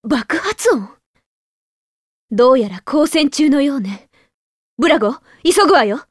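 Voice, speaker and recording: A woman's voice: high voice, lively intonation, a little slow, no echo, studio quality. A voice actress playing a stern, resolute young noblewoman in an anime game, haughty and commanding. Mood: grim resolve.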